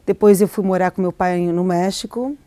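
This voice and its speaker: A woman's voice: on the low side, fairly even intonation, normal speed, mellow, dry recording. A middle-aged woman, an author raised in Argentina, answering interview questions in a hesitant, reflective way with many restarts and fillers. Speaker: novelist, interview guest.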